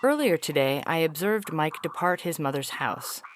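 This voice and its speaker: A woman's voice: deep voice, expressive, moderate pace, slight background noise. A woman playing a formal alien agent in audio fiction, reporting on humans in stiff, clinical, precise phrasing with a faintly weary, homesick temper. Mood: clinical, disapproving. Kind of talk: scripted audio fiction reading.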